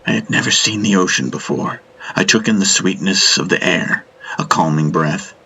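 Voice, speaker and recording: A man's voice: low voice, moderate pace, creaky, sharp tone, a little hiss or hum, poor audio. A man narrating a first-person story as a dramatic audiobook.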